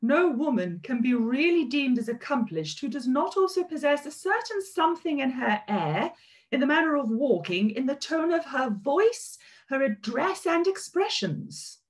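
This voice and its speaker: A woman's voice: high voice, very animated, moderate pace. A woman playing an affected, snobbish young society lady in a period drama read over video call. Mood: condescending. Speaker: actor in live read. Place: indoor kitchen at home.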